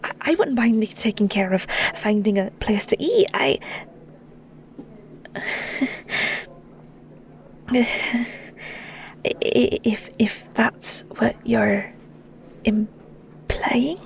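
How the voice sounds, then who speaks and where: A woman's voice: on the high side, expressive, very slow, vocal fry, long pauses, whispered, full character voice. A woman with a Scottish accent playing a shy, sweet young shepherdess in an audio roleplay, stammering and rambling with nervous giggles. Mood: flustered. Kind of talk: audio roleplay acting, intimate.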